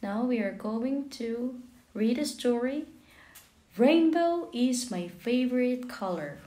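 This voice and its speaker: A woman reading a picture book aloud for young children in a measured, expressive way with deliberate pauses. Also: a little high, echoey room. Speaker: children's book reader, channel host.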